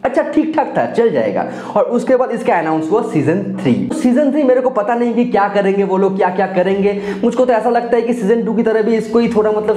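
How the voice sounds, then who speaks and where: A man's voice: sits high, warm tone. A young man giving casual, enthusiastic video commentary, often addressing his viewers directly like friends. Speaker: anime review YouTuber. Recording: roomy echo.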